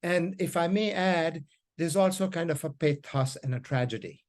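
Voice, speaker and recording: A middle-aged man from India, whose first language is Hindi, speaks in a fairly high voice. He tells stories in a reflective way and often pauses with fillers. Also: darkish tone. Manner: reflective, storytelling, frequent fillers. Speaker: panel discussant. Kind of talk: academic panel remarks.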